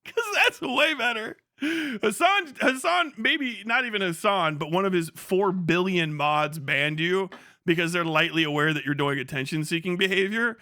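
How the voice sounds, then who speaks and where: A man with a high, bright voice delivers a casual streamer rant. He sounds incredulous and mocking, swearing freely in loose slang. The sound is dry, with very little room on it. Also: moderate pace, no room sound. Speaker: live streamer reacting to internet drama. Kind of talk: casual profane ranting commentary.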